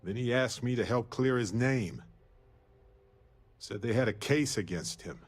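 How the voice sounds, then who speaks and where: A man playing a warm, wistful space explorer companion in a video game, earnest and reflective in a conversational way. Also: medium pitch, measured pace, breathy edge. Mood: pained, concerned. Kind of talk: scripted video game dialogue.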